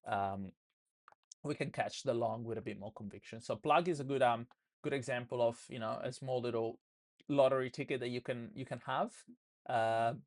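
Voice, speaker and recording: Hoarse Australian man teaching trading. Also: medium pitch, fairly even intonation, moderate pace, darkish tone. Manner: loose, filler-heavy, restarts often. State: hoarse, losing his voice. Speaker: trading educator. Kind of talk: live trading session walkthrough.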